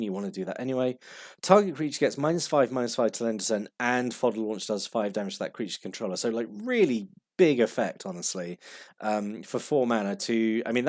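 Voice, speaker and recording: Guy with a cold talking cards, kinda low voice, lots of ums, dead dry room. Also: no room sound. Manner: rambling, many fillers and restarts. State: has a cold, sniffly. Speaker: card game video host. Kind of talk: casual rambling commentary.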